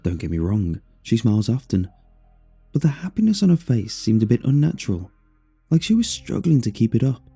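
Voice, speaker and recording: A man narrating a scripted first-person horror story in a measured, expressive way over music. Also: mid-range voice, vocal fry, husky. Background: faint music.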